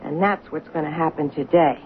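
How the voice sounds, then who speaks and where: An actress playing an obsessed, righteous waitress in a 1970s radio drama, solemn and moralizing with a frantic, unhinged edge. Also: female voice, low voice, brisk, close to an everyday voice. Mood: righteous, preachy. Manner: earnest, solemn moralizing that rises into frantic protest. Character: obsessed soap-opera fan waitress, righteous, fervent, unhinged. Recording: muffled, noisy old radio broadcast.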